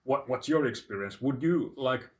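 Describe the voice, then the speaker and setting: A Finnish man with a Finnish accent, telling a long digressive story in a halting way with many fillers and restarts. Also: mid-range voice, expressive, far from the mic. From Finland. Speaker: channel host. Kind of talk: rambling storytelling monologue. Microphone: phone.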